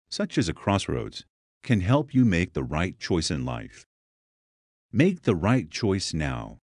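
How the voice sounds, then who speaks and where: A man with a deep voice reads a guided meditation. His delivery is deliberate and soothing, with gaps between phrases, yet the melody rises and falls in a lively way. The sound is dry, with very little room around it. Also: no echo. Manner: slow, deliberate, soothing instruction with pauses. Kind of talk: scripted guided meditation narration.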